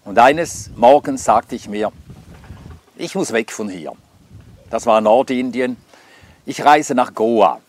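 A man's voice: medium pitch, slow, pauses often. Older man telling his story. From Scandinavia. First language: Swedish. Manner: reflective, hesitant with frequent fillers. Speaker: testimony speaker. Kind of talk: personal life testimony.

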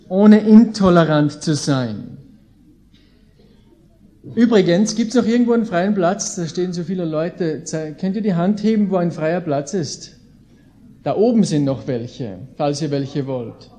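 A man's voice: sits high, mellow. A man in his late thirties with an Austrian accent, preaching to a live audience in an informal, folksy way with emphasis on key phrases. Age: late 30s. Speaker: visiting preacher, Bible school leader. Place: indoor gathering with an audience.

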